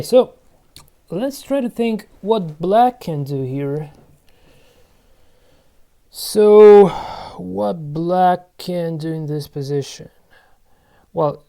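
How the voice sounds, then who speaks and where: Man, 30s, channel host; dark, mellow tone; halting think-aloud game commentary. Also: on the high side, vocal fry. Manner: musing aloud to himself, halting. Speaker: channel host playing online board games.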